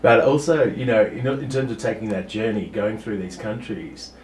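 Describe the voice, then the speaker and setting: A man's voice: on the low side, normal speed, darkish tone, a little hiss or hum. A man hosting a panel interview, asking long, rambling questions. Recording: roomy, from a distance.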